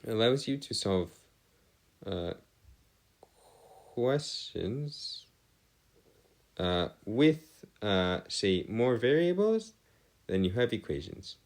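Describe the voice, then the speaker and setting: A man giving a step-by-step math lesson as a tutor, halting and pausing to check himself, with formal, bookish wording. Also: on the low side, big pitch swings, a little mellow. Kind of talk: lesson, working through equations step by step.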